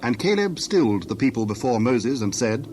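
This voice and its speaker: A man's voice: mid-range voice, sing-song, normal speed. Solemn male scripture narrator, mid-range voice. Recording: clear background noise with birds.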